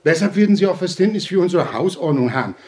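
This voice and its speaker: A man's voice: high voice, expressive. An actor playing an unctuous, domineering fatherly head of a halfway house, preachy and pompous, in a German audio drama. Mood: reproachful, pious. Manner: preachy, pompous, drawn-out emphatic phrasing.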